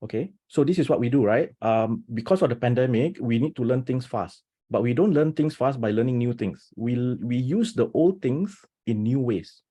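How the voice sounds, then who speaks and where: A man's voice: medium pitch, breathy edge. A man presenting as a teacher on a video call webinar, talking with energy and many restarts, often checking in with "right?". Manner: animated, talks fast with many restarts and rhetorical "right?". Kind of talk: enthusiastic webinar talk.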